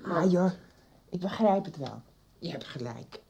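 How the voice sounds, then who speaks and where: A woman's voice: lowish voice, animated, unhurried, vocal fry, mellow, dull audio, a little hiss or hum. An actress playing a middle-aged mother in a naturalistic radio soap, firm and protective, caring in manner. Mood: tender, consoling. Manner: polite but firm, consoling. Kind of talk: naturalistic 1990s Dutch radio soap acting.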